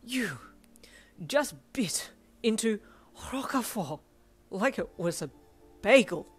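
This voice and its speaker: A prim, formal young man with stiff and fussy diction, voiced by a woman reading a story aloud. The voice sits fairly low, close to her own, with faint music beneath. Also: moderate pace. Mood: flustered. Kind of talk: audiobook character voice.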